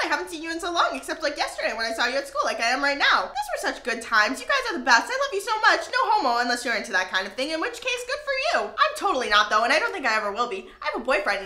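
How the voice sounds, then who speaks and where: A woman's voice: sits high, bright, forceful tone, echoey room, heavily acted. A woman voicing a scatterbrained, hyperactive schoolgirl who rambles and gushes in an anime parody dub. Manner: rambling, breathless gushing. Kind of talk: fan parody dub acting.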